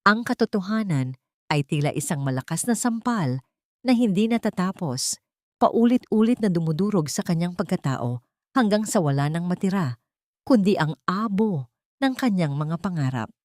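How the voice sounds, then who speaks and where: A woman reading a scripted dramatic love story as narrator, in a solemn, melodramatic manner with deliberate phrasing. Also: on the low side, lively intonation, no echo, studio quality.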